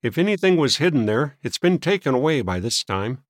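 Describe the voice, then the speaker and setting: A man voicing an irritable, suspicious old gentleman in an audiobook, testy and scoffing. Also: mid-range voice, very animated, brisk, no echo, clean studio sound, voice pushed up, close to an everyday voice. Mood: dismissive. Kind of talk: audiobook character voice.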